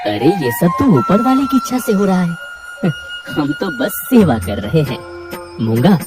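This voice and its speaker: A woman voicing a humble, saintly male eatery owner in a cartoon, calm and devout. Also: lowish voice, unhurried, far from the mic, over music. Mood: calm, benevolent.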